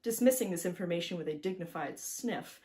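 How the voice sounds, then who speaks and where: A woman author reading her own picture book aloud with animated expression and chatty asides to the listener. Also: on the low side, fairly quick. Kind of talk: expressive children's storybook narration.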